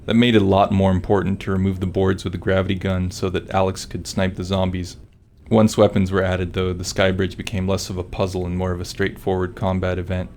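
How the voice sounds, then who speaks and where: Low flat-voiced guy reading dev commentary, bit of background ambience. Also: a little fast, a little hiss or hum. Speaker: game developer. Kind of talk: scripted developer commentary.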